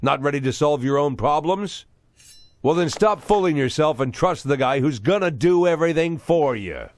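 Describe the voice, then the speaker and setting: A man's voice: on the high side, expressive, moderate pace, slight background noise. A middle-aged man playing a bitter, crooked former police chief in game drama, sarcastic and menacing. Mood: cold, menacing. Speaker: voice actor in game cutscenes. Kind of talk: dramatic scripted dialogue.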